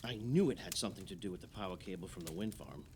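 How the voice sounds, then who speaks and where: A man's voice: low-pitched, fast talker, darkish tone, slight background noise. An actor playing an opinionated, idealistic male islander holding forth emphatically in conversational radio play dialogue. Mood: opinionated, insistent.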